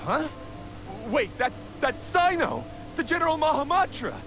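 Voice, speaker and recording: A man's voice: very high-pitched, wildly swooping pitch, moderate pace, music in the background, full character voice. An actor playing a cowardly, shifty bandit henchman in a video game, whiny and stammering. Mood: panicked, pleading. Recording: game capture with clear background noise.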